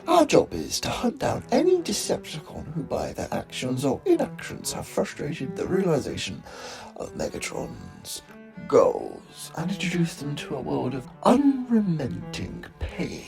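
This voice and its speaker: A man's voice: on the high side, huge pitch swings, unhurried, vocal fry, music in the background, heavily acted. A man voicing an eloquent, sadistic robot leader of a hit squad in a comic dub, with theatrical, menacingly polite monologues. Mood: coldly menacing. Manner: theatrical, menacingly polite, soliloquizing, dropping to whispers.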